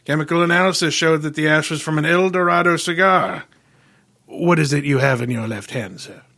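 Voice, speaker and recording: A grandiloquent male detective of old-time radio, acted out by a man, with a forceful, highish voice. He is erudite and self-satisfied, fond of his dinner, and lays out each phrase with theatrical deliberation. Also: fairly quick. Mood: accusing, triumphant. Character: pompous, erudite, gluttonous male genius detective.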